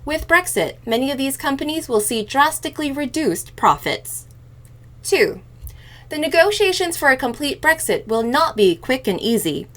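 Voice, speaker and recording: A woman hosting a news programme, reading a scripted report in a measured, enunciated way. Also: on the high side. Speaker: news podcast host reading headlines.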